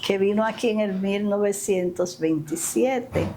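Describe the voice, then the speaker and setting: Older woman, Dominican accent, interview guest, emphatic storyteller, mid-pitched, faint background noise. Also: a little slow. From Dominican Republic, Santiago and the capital. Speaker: interview guest, community leader. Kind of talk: conversational interview, reminiscing. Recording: steady ambient background noise.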